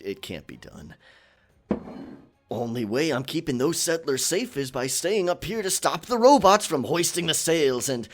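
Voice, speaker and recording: A man playing a boisterous, rum-swigging pirate captain in a roleplay audio drama, with a gravelly voice, theatrical yet hesitant, muttering in pirate slang. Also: mid-range voice. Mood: defensive.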